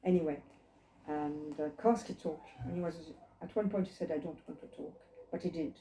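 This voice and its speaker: An elderly woman talks to a few listeners in a living room, her voice mellow and warm. She wanders between memories, restarts her sentences and checks whether her listeners follow. The room sounds roomy around her. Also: deep voice, fairly quick, a little hiss or hum. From France. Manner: reflective, digressive, asks listeners questions. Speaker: holocaust survivor telling her story. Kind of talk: personal storytelling to a small group.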